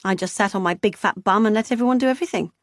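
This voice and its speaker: Woman reading a sardonic pregnant young woman, higher voice, dry studio sound. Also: rapid-fire, no room sound, close to an everyday voice. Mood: wry. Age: young adult. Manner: dry, sarcastic.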